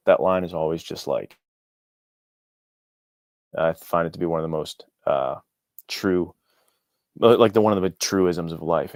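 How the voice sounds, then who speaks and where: A man with a deep voice answers as a guest in a casual interview. He sounds earnest, thinking aloud, and the recording is dry and close. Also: darkish tone, no room sound. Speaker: author, podcast guest.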